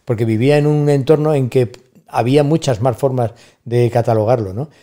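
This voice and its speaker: A middle-aged man with an Andalusian accent talks through ideas and anecdotes at his own pace. His voice is dark and mellow. He steers the chat with rhetorical questions and small stories. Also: mid-range voice, fairly quick. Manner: storytelling, thoughtful, with rhetorical asides. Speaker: guest, university staff member. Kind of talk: casual conversation on a podcast.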